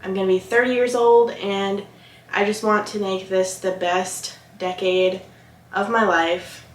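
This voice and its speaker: A woman in her late twenties chats casually to her viewers, relaxed and friendly, as if showing off a craft project at home. Her voice sits at an ordinary middle pitch, and the room around her rings with a noticeable echo. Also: a little slow. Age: 20s, about to turn 30. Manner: chatty, relaxed, talks to viewers as "guys". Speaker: vlogger. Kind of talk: casual vlog chat. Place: home office.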